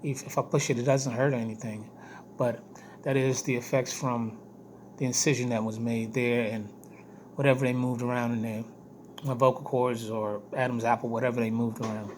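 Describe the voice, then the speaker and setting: Guy doing a casual vlog update, hoarse weak voice, kinda halting, repeats himself, some noise behind him. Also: mid-range voice, flat delivery. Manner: halting, repeats phrases for emphasis. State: hoarse, weak voice after throat surgery. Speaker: vlogger giving health updates. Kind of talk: casual video diary update to camera. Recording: noticeable background noise.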